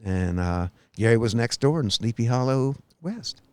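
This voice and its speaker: A man's voice: mid-range voice, animated, normal speed, clean tone, no room sound. A man in his sixties co-hosting a podcast in rambling casual chat, prompting his partner, recorded outdoors on a lakeside tower. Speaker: podcast co-host.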